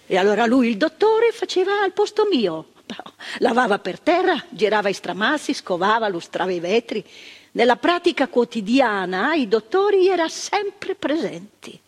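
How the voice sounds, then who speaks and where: A frank, earnest old psychiatric nurse with a lilt, as an older actress plays her on stage. Her voice is husky and breathy, and her pitch swings up and down as she tells her story. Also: big pitch swings, normal speed. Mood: earnest recollection. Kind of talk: stage monologue. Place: theatre stage.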